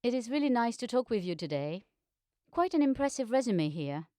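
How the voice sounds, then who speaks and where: A woman's voice: a little high, sing-song, fairly quick, warm tone, no room sound, clean studio sound. A woman interviewer reading prepared introductions and putting questions to a guest. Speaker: interviewer, theatre artistic director.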